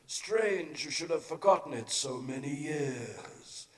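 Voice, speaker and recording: An actor playing a solemn, commanding spirit in a theatrical radio drama, grave and formal, echoing over music. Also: male voice, fairly high pitch, animated, slow pace. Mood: solemn, probing. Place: performing arts center stage. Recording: echoey hall, music underneath.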